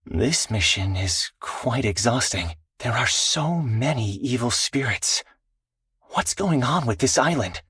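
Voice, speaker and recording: A man voicing an earnest, dutiful teenage exorcist swordsman in a video game, speaking solemnly and politely in measured phrases. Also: mid-range voice, lively intonation, a bit bright, husky, no room sound, clean studio sound, full character voice. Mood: weary, grave. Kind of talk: scripted video game character voice lines.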